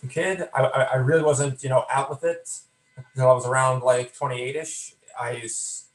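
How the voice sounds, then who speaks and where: A man's voice: mid-range voice, steady tone, normal speed, reverberant, rough recording. A man in his thirties who stutters, introducing himself on a panel with repeated words and blocked sounds. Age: 30s.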